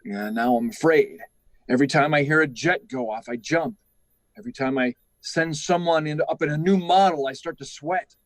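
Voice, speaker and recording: Actor playing an anxious, gruff aging flight chief, higher-pitched. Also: male voice, no echo, close to an everyday voice. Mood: weary, guilty. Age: older. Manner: halting, urgent, repeating himself. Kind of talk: live radio drama acting.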